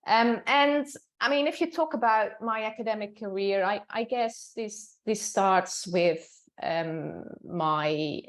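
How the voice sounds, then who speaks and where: A woman in her sixties with a Dutch accent, a professor and native Dutch speaker, answering interview questions thoughtfully with frequent fillers and restarts. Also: fairly low pitch. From Netherlands.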